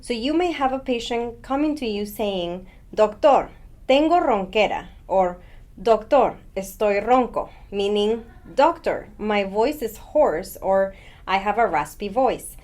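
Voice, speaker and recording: A woman's voice: mid-range voice, expressive, unhurried, darkish tone. Friendly woman doctor teaching vocabulary. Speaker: doctor presenting a Spanish vocabulary segment. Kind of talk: scripted podcast segment.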